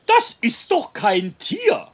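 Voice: high voice, wildly swooping pitch, slow, heavily acted. An actor voicing a prim male gander schoolteacher who patiently instructs and corrects in a children's cartoon. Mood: correcting. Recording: birdsong noise throughout.

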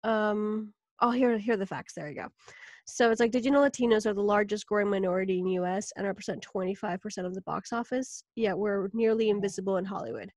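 A woman hosting a podcast conversation in a casual, chatty way, often pausing with fillers and breaking into laughs. Her voice sits at an ordinary middle pitch. The sound is dry and close, with no room echo. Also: fairly even intonation, a little mellow. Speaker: podcast host.

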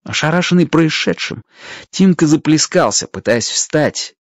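A man's voice: a little high, lively intonation, unhurried, dry recording. A man narrating a children's adventure story expressively from a script, as a storyteller.

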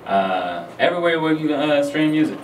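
An American man in his thirties chatting casually as a guest, with a halting manner. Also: fairly high pitch, big pitch swings, distant mic. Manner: halting, repeats "like". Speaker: guest, music artist. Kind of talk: casual chat. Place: small radio studio with foam panels. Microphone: studio microphone on boom arm. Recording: echoey room, off-mic, faint noise.

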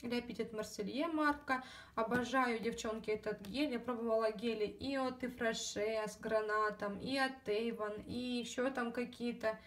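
Woman chatting thru a beauty haul, kinda high voice, a bit scratchy throat, phone mic a little far off. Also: steady tone, moderate pace. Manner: chatty, many fillers, addresses viewers as girls. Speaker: beauty vlogger. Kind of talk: casual haul video chat.

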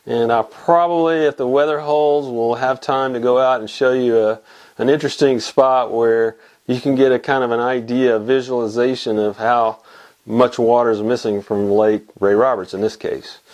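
A man's voice: mid-range voice, fairly even intonation, normal speed, darkish tone. Rambling man giving a fly fishing report. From Texas. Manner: rambling, many restarts and fillers. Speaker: fly fishing show host. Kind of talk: casual weekly report to camera. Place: small home fly shop, indoors. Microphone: camera mic at desk distance.

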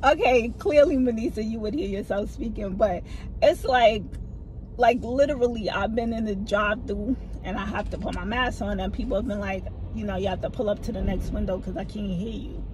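Middle-aged woman vlogger, casual storytime from her car seat, low voice, expressive. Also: brisk, noisy background. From family from the American South. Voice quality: low voice that people find hard to hear. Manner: animated, talks with her hands. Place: parked car, inside. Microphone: phone camera mounted in front of her.

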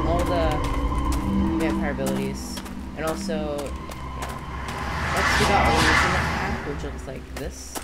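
A woman with a cold, casually talking through a game showcase in a halting way, with restarts. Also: sits low. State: sick with a cold. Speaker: gaming YouTuber. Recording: loud background noise.

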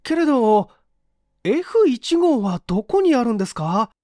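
A young male research student, acted by an audiobook reader, polite and deferential. His voice is pitched high and sounds hesitant, in a dry recording. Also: very animated, unhurried, mellow, no room sound, full character voice.

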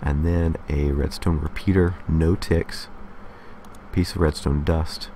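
A man with a remarkably deep, low-pitched voice presents a casual game tutorial. He explains each step methodically, pausing to confirm before moving on. A light layer of noise sits behind his voice. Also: fairly even intonation, warm tone. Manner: methodical, explanatory, checks in with "okay". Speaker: tutorial host.

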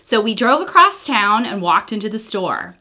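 Bright-voiced American woman reading aloud, lively pitch. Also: moderate pace. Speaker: children's book reader. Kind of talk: read-aloud storytelling, scripted. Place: small indoor room, wall covered in papers.

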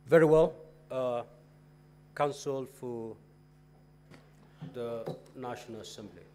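A man's voice: mid-range voice, warm tone, slight background noise. Authoritative Kenyan man presiding in parliament. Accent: Kenyan English. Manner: authoritative, halting, with rising emphasis. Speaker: presiding Speaker. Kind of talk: formal chamber proceedings. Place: large parliamentary chamber. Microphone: desk microphone.

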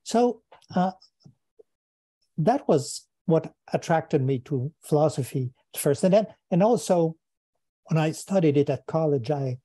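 An older man from Quebec with a Quebec French accent, a native French speaker, answering interview questions over a video call from his study, thoughtful and halting, often repeating words. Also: a little high, animated, dark tone, no room sound. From Quebec, Canada. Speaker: guest philosopher. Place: home study with bookshelves. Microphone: earphone mic on video call.

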